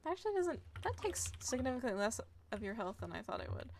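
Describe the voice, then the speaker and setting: A woman's voice: a little high, very animated, quick pace, heavy background noise. A woman streaming a video game, casually rambling and thinking aloud as she plays. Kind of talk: casual chatty gameplay commentary.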